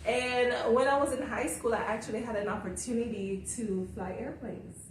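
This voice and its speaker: A woman's voice: a little high, fairly even intonation, normal speed. A woman, a guest telling her story in a casual interview and laughing often. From Jamaica. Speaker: guest, author coach. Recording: roomy echo, distant mic, faint hiss.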